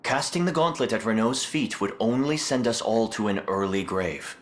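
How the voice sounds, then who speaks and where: An actor playing a cautious, serious knightly adviser with a measured, formal manner in a fantasy video game. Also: male voice, on the low side, lively intonation, close to an everyday voice. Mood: cautionary.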